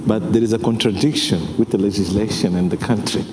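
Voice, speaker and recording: A man's voice: lowish voice, fairly even intonation, brisk, background noise. A man in his forties from Mozambique, a jazz musician speaking English, talking passionately on a conference panel and often checking whether his listeners follow. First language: Portuguese. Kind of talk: panel discussion. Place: large conference hall stage. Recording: echoey hall with faint ambience.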